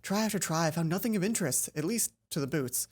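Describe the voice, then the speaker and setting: A man reading the first-person narration of a young female student in a game, hushed and suspenseful. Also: a little high, animated, quick pace, a little hiss or hum, close to an everyday voice.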